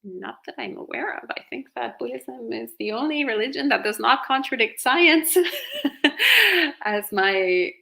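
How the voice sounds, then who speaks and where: A woman with an Italian accent hosting a casual live question-and-answer chat, talkative and quick to laugh. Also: fairly high pitch, lively intonation, creaky, pushed and bright. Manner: chatty, laughs often, fillers like "you know". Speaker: host reading viewer questions.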